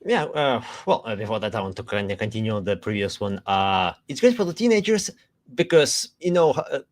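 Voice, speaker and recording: A man's voice: on the low side, brisk, no room sound, clean studio sound. A man co-hosting a casual podcast chat who talks hesitantly with many fillers and restarts. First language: not Spanish; Spanish is his third language. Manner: hesitant, filler-heavy, relaxed. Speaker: chief marketing officer, co-host.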